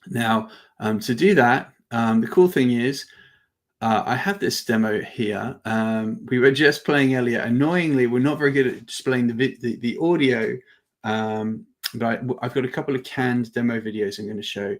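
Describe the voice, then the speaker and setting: A man presenting an informal live product demo as a webinar host, with halting phrasing and repeated words. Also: mid-range voice.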